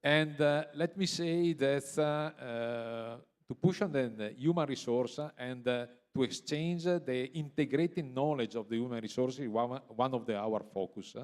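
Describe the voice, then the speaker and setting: Man from Italy, halting, searching for words, smooth resonant voice, long hall reverb. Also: medium pitch. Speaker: industry representative, forum panelist. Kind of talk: panel discussion answer.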